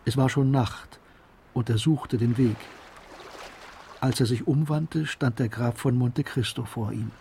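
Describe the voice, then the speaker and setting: A man narrating a literary German radio drama in a measured, composed storytelling manner. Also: mid-range voice, fairly even intonation, mellow, slight background noise.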